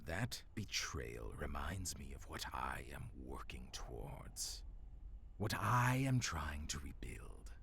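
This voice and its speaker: Very deep, gravelly ancient villain. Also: male voice, very animated, a bit bright, a little hiss or hum, full character voice. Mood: bitter menace. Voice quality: very deep, gravelly, often whispery. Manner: slow, deliberate, condescending menace. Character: menacing ancient male supernatural villain, condescending, bitter captor. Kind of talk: dramatic audio roleplay acting.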